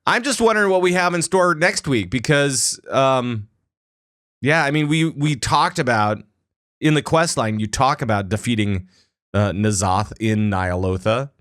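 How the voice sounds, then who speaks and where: A man's voice: medium pitch, a little slow, fairly bright tone. A man hosting a casual gaming podcast, rambling with lots of filler words. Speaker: podcast host.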